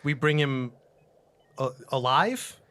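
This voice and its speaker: A man chatting casually as a player in a tabletop game, improvising in a halting way. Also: medium pitch, expressive, fairly bright tone, no room sound.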